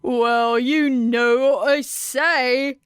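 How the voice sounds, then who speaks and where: A smug, gleeful gambler man, played by a woman, stretching his words very slowly with obnoxious condescension. The voice sits fairly high and is very smooth and resonant, an audiobook character who loves to crow. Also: steady tone, no room sound, studio quality. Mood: smug, coaxing. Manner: crowing, drawn-out, condescending.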